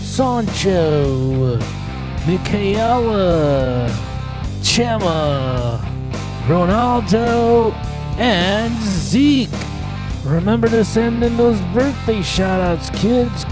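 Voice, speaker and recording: A woman announcing a birthday club segment in a slow, upbeat kids' show style. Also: medium pitch, animated. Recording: music under voice.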